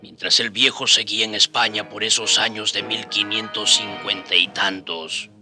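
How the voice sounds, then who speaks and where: Casting: male actor as earnest, dignified narrator for a radio drama, lowish pitch, measured, over music. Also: little pitch movement, close to an everyday voice. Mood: earnest, reflective.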